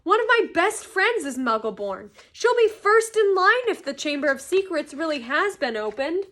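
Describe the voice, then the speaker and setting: A woman's voice: high-pitched, very animated, measured pace, pitched above the performer's own voice. A woman voicing a boy hero in a storybook, fierce and insistent, with an urgent, indignant way of questioning.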